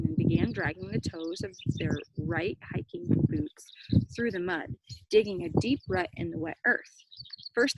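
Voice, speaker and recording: A woman reading a children's story aloud, with expressive dramatic emphasis and brief explanatory asides. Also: mid-range voice, vocal fry. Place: outdoors near cows. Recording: faint background noise.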